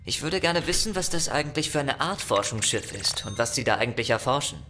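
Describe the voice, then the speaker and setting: A man's voice: mid-range voice, animated, brisk, background noise, close to an everyday voice. A man playing a level-headed, practical starship technician in a sci-fi audio drama. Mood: focused.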